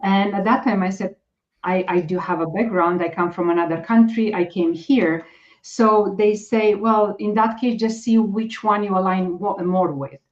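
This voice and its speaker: A woman's voice: mid-range voice, normal speed. A middle-aged woman with a Romanian accent, a guest coach talking casually and hesitantly, with many fillers and restarts. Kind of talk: casual interview talk. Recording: dull lo-fi audio.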